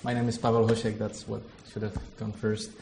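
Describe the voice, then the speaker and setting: A man's voice: lowish voice, monotone, a little fast, creaky, very noisy. A man from the Czech Republic with a Czech accent, a theology lecturer opening a workshop in a hesitant, filler-heavy way. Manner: hesitant, many fillers, self-deprecating. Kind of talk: informal workshop talk with a prayer.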